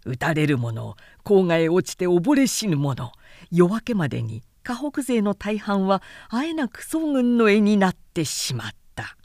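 A woman reading a literary story aloud as narrator, in a measured, theatrical storytelling manner. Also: mid-range voice, very animated, darkish tone, dry recording, studio quality. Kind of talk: dramatic scripted literary narration.

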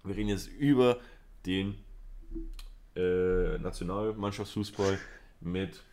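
A man's voice: deep voice, steady tone, takes it slowly, mellow. A man co-hosting a podcast, chatting casually with a wry, joking manner. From Southern Europe. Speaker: podcast co-host. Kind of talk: casual chat.

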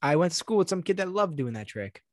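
A man's voice: high voice, very animated, fast talker, dry recording, clean studio sound. A man in his late twenties chatting casually, insistent and argumentative, with crude language. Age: late 20s. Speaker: talk show co-host. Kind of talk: casual bantering chat.

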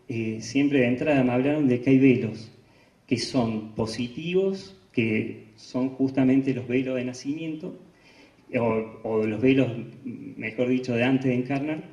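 A man with an Argentine accent giving an informal spiritual lecture over slides, halting often and restarting with fillers. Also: medium pitch, dark tone. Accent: Argentine Spanish. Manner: halting, full of pauses and "eh, bueno" restarts. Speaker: spiritual teacher presenting slides. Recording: roomy, distant, dull lo-fi sound.

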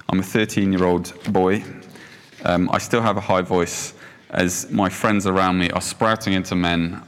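Deep-voiced man preaching casually. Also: fairly bright tone, big-room sound. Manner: chatty, self-deprecating, many ums. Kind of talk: informal sermon with storytelling.

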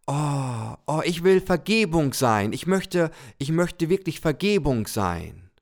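A man voicing a small, excited, childlike soul in a podcast story, eager and repeating itself. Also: mid-range voice, normal speed, lightly acted. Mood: overjoyed.

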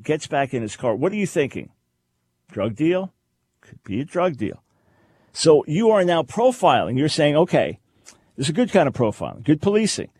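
A man's voice: on the high side, darkish tone, no echo. An American man talking off the cuff as a radio host, conversational, posing rhetorical questions and acting out examples. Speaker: radio talk show host. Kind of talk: unscripted talk radio commentary.

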